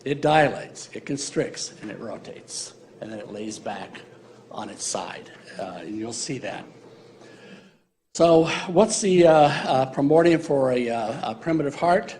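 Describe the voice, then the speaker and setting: A man's voice: medium pitch, lively intonation, measured pace, darkish tone, a little hiss or hum. A man giving a university embryology lecture in a casual, joking way, with many fillers. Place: lecture hall.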